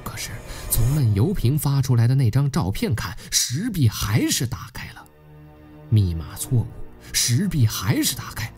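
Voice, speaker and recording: An actor playing a young male tomb adventurer who narrates an audio drama in an uneasy, suspenseful, expressive way. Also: mid-range voice, moderate pace, lightly acted. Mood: alarmed, uneasy. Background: background music.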